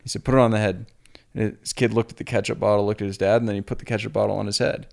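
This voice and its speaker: Young adult male pro cyclist, podcast guest; low gravelly voice, easygoing and self-deprecating. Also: racing. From US Pacific Northwest. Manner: relaxed, rambling, self-deprecating. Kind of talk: casual podcast conversation.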